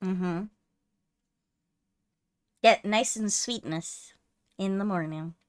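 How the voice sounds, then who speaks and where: A woman's voice: medium pitch, drawn-out words. A woman chatting casually as she hosts a livestream. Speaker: streamer hosting a live game readthrough.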